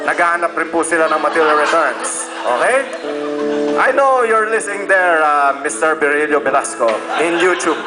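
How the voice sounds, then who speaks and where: A man's voice: a little high, normal speed, poor audio. A Filipino man, a native Tagalog speaker, reading aloud to an audience as a playful master of ceremonies with teasing asides. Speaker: master of ceremonies at a class reunion. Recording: loud music and crowd noise behind. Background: background music and a lively crowd.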